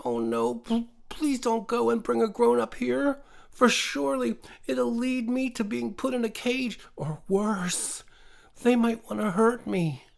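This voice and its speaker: Small scared creature, high whiny pleading, gravelly, panicky. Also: male voice, lively intonation, measured pace, heavily acted. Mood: pleading, frightened.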